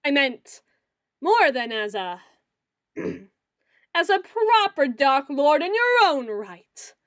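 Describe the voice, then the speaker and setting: A woman playing a melodramatic self-styled female dark lord in an ASMR roleplay, pompous and theatrical, grandiose yet a little awkward. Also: high voice, very animated, slow, dry recording, clean studio sound, heavily acted. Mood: awkward, earnest. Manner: pompous, theatrical, mock-archaic phrasing with awkward hedging.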